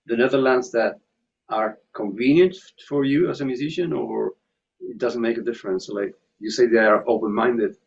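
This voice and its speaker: A man's voice: medium pitch, moderate pace, a little hiss or hum. A man hosting an interview, asking questions and often murmuring short agreements. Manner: frequent short affirmations, searching phrasing. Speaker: interviewer, host. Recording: gravelly muffled lo-fi audio.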